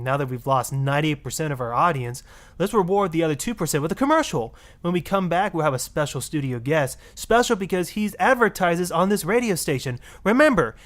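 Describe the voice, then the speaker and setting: A man's voice: a little high, a bit bright. Man acting a snarky talk radio host. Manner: snarky, brisk radio patter. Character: sarcastic, exasperated male talk radio host. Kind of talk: satirical video game radio acting.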